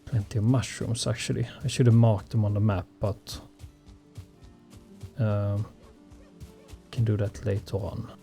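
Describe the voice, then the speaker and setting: A Swedish-born man talks through his survival gameplay at an unhurried pace, pausing often on ums and uhs. His voice is mellow and mid-pitched. Faint game music plays underneath. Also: steady tone, creaky. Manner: slow, hesitant, many fillers. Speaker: gaming channel host. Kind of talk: relaxed gameplay commentary.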